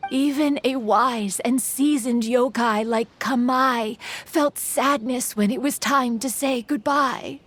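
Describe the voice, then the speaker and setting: A woman voicing a sorrowful, weary yokai spirit in a video game, speaking wistfully in breathy half-whispers. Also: high-pitched, heavily acted. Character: sorrowful, weary little female yokai spirit of an old paddle.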